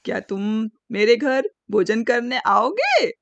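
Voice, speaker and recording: A woman's voice: a little high, big pitch swings, takes it slowly, vocal fry, no echo, heavily acted. A woman voicing a cunning, proud female fox with a sweet, teasing, smug manner in a children's animal fable. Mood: sweetly inviting.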